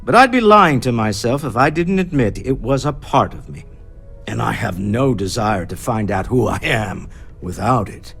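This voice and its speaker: Rich suave businessman, theatrical, low swooping voice, game cutscene. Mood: solemn farewell. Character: suave, proud wealthy businessman, theatrical and reflective. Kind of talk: video game voice acting.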